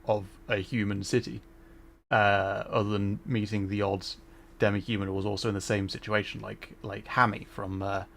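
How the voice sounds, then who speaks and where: A man chatting casually as he streams a game, musing in a halting way and often trailing off. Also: fairly low pitch, little pitch movement, creaky, a bit bright, slight background noise. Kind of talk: casual livestream chat while gaming. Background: game music.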